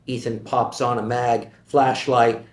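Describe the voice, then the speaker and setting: A man reading the stage directions of a screenplay at a table read in a steady, measured voice. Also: mid-range voice, a little hiss or hum. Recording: echoey room.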